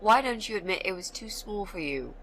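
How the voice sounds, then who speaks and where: An actress plays a cheeky, curious woman who likes to tease. Her voice swings widely in pitch and sounds animated, at an ordinary female height. Faint noise sits behind it. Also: fairly quick. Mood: teasing, eager. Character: teasing, curious female companion of the storyteller.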